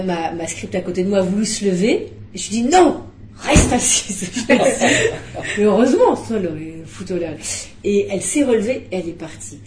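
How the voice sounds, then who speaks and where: A middle-aged woman, a film director, talking at a press conference, animated and restarting often. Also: medium pitch, reverberant, noisy background. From Belgium.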